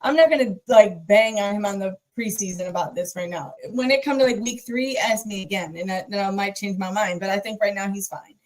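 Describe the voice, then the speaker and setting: A woman co-hosting a casual sports chat who talks in a relaxed way and repeats her point for emphasis. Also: mid-range voice, fairly quick, few pauses, low-quality recording. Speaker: podcast co-host.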